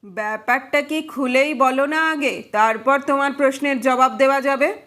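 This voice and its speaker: A woman's voice: high-pitched, normal speed, fairly bright tone, pitched above the performer's own voice. A woman voicing a self-important older male village elder in an audio story, speaking with patronising authority.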